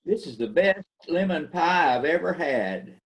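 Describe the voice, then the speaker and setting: Folksy dinner guest, read by a man; slowish, lively swings, raised pitch, amateur radio play. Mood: folksy chatting. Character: easygoing folksy male dinner party guest. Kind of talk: amateur radio-style readers theater.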